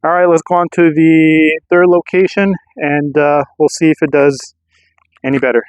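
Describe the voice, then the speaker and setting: A man's voice: on the high side, steady tone, normal speed, dry recording. A man talking casually as a vlogger during a field test of radio gear, in slightly muffled audio.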